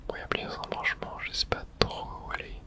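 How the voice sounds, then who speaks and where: A man whispers throughout, with almost no voice in the breath. He gives ASMR commentary as he plays a video game. His remarks come short and hushed, as if he is thinking aloud. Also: fast.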